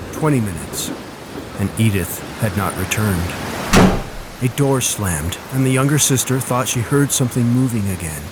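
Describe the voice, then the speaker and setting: Low-pitched man narrating horror. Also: very noisy. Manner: theatrical, ominous. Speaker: story narrator. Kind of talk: dramatic scripted horror narration. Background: music and storm ambience.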